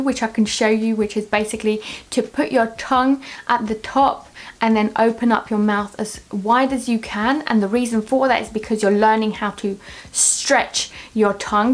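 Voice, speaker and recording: Chatty woman with a highish voice. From Russia, raised in England from age seven. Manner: chatty and explanatory, with frequent ums and restarts. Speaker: parenting vlogger. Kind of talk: casual talking-to-camera vlog.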